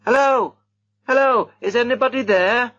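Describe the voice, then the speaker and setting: A man voicing an excitable young man who calls out loudly. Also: sits high, a little slow, husky, no echo, lo-fi sound, voice pushed up, full character voice. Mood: excited.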